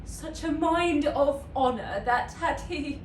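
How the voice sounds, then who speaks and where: A woman's voice: high voice, slow pace, far from the mic. A woman playing a chaste young heroine in a classical stage monologue, intense, formal and declamatory. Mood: solemn, resolute. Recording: echoey room, background noise.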